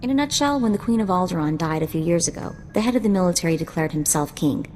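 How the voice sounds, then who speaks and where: A woman's voice: on the low side, animated, fast, darkish tone, long reverb tail, a little hiss or hum, natural voice. A woman playing a confident, casual young sidekick in a video game, explaining things breezily. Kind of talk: video game cutscene acting.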